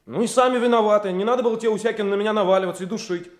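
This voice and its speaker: A man's voice: high voice, rapid-fire, lightly acted. A man playing a stubborn, indignant young trainee detective in a Soviet radio drama, speaking bluntly. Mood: stubborn, aggrieved. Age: young adult.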